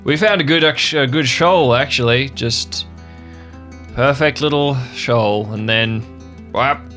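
A man voicing a gruff, tipsy, despairing fishing boat captain in a tabletop game, rambling blearily. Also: mid-range voice, takes it slowly, sharp tone, soft background music, voice pushed up. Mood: rambling, dazed.

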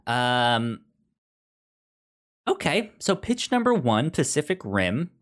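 A man's voice: mid-range voice, wildly swooping pitch, slow pace. A man co-hosting a podcast, chatting casually in an easygoing, slightly hesitant way. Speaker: podcast co-host. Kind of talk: casual chat.

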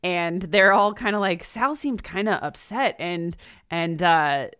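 A woman's voice: fairly low pitch, unhurried, fairly bright tone, smooth. A woman in a casual, informal discussion, speaking with enthusiasm as a podcast host. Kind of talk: casual chat.

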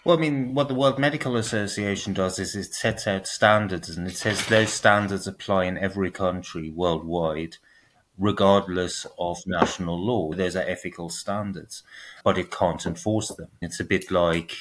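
Man, lower voice, podcast guest; patient explainer with a dry, ironic edge. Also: fairly even intonation, fairly bright tone. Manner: measured, explanatory, lightly ironic. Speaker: guest, transparency advocate. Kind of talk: podcast interview.

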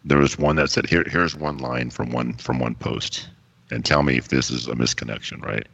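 A man's voice: rumbling low, steady tone, normal speed, vocal fry, a bit bright, breathy edge, lo-fi sound. A man co-hosting a casual live stream, easygoing and agreeable, reading out viewer posts and chat messages. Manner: laid-back, agreeable, reads chat aloud.

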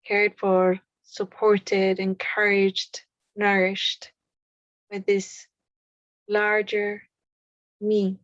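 A woman leading a guided meditation, speaking softly with long pauses between short phrases. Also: mid-range voice, dry recording, lo-fi sound. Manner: slow, soft, with long pauses.

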